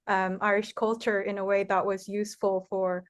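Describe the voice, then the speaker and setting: A woman's voice: medium pitch, level tone, normal speed. Female academic panelist, mid-range voice, explanatory discussion delivery.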